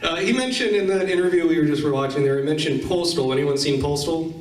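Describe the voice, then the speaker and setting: Distant, echoey recording; man with a slightly high voice, casual panel host addressing a room. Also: quick pace. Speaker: panel host at a gaming expo. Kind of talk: casual panel talk with audience questions.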